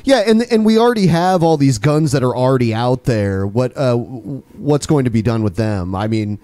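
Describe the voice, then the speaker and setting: A man hosting a casual discussion, putting measured, somewhat tentative and probing questions. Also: mid-range voice, lively intonation, warm tone, no room sound.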